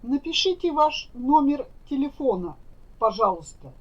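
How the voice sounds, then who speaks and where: A woman teaching Russian, saying each phrase slowly and carefully so learners can repeat it. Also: high voice, sing-song. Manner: careful, deliberate enunciation. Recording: dull lo-fi recording.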